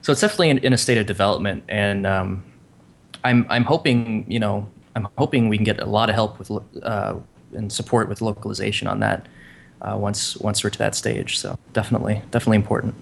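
A man with a low, gravelly voice talks easily about development work on a tech podcast. He drops in short agreements and speaks in a relaxed, informal way. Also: a little hiss or hum. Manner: easygoing, quick short agreements. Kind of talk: casual tech podcast chat.

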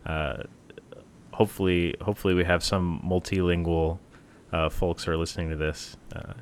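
A man co-hosting a casual tech podcast, talking in a relaxed way with many fillers and restarts. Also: low-pitched, normal speed, slight background noise. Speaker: podcast co-host, software team member.

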